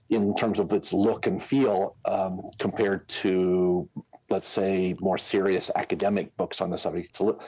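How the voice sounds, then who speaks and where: A man's voice: deep voice, mellow, husky, rough recording. An American man in his sixties with a Midwestern accent, talking casually as an interview guest, rambling with many fillers and restarts. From United States. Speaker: guest, scenario planner.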